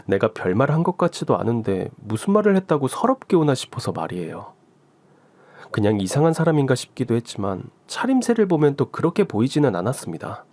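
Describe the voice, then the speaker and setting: Man narrating a story, animated. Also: mid-range voice, dry recording. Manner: first-person storytelling as the wife. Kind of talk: scripted radio story narration.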